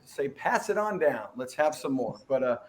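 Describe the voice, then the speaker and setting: A man's voice: mid-range voice, very animated, moderate pace, slight background noise. A middle-aged man hosting an interview podcast, chatty and rambling with a joking streak. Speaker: podcast host. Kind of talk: casual interview chat.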